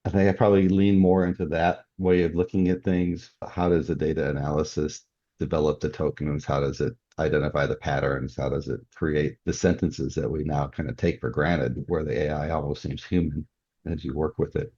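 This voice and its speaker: A man's voice: very deep voice, level tone, brisk, mellow, breathy edge. An older man talking casually as a guest in a podcast interview, often chuckling as he speaks. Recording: dull, muffled lo-fi audio.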